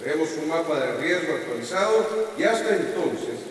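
An actor playing a male town mayor with a Costa Rican accent, insistently pleading for calm at a meeting in a radio drama. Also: fairly high pitch, normal speed, a little hiss or hum, lightly acted. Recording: echoey hall, voice from far off.

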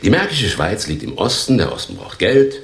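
A washed-up actor hustler in a radio play, deep creaky voice, smooth sales patter, roomy sound. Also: male voice, wildly swooping pitch, normal speed, full character voice. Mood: persuasive. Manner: theatrical, smooth-talking, persuasive salesman. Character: grandiose down-and-out former stage actor posing as fugitive businessman. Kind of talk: German radio play acting.

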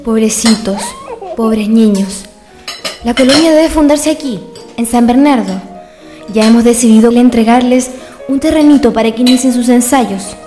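Woman acting a mellow, compassionate lady hostess. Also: a little high, normal speed, soft background music, close to an everyday voice. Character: Amalia, compassionate, generous landowning poet's wife. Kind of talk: radio drama acting.

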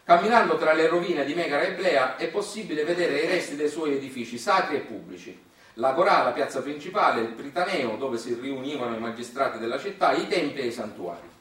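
A man's voice: a little high, animated, a little fast, fairly bright tone. Echoey, distant Sicilian man storytelling. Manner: folksy, colloquial with dialect words. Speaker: stage storyteller. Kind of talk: theatrical monologue. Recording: very echoey room, distant mic.

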